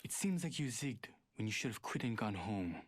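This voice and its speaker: A man's voice: lowish voice, very animated, moderate pace, no room sound. An actor voicing a guarded, arrogant male hacker in a video game, speaking in a terse, serious, superior way. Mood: cold, condescending. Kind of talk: video game character voice lines.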